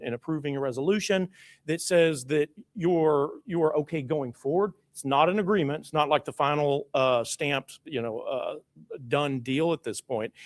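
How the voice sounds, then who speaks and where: A loud-voiced man who works as a lawyer talks a school board through next steps. He is chatty and informal, with plenty of filler words. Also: medium pitch. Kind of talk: board meeting presentation. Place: school board meeting room.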